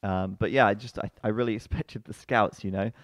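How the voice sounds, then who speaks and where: Male esports caster, lowish voice, relaxed chatter with sudden excited shouts. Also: fairly quick, no room sound. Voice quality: bassy-sounding voice. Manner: chatty, breaks into excited outbursts. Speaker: esports commentator. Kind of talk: live game commentary.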